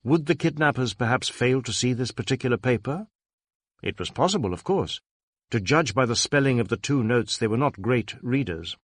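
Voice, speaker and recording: A male narrator reads detective fiction in a scripted, dramatic storytelling style. His pitch is mid-range and moves expressively, and the sound is dry and close. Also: expressive, normal speed, dry recording. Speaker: audiobook narrator.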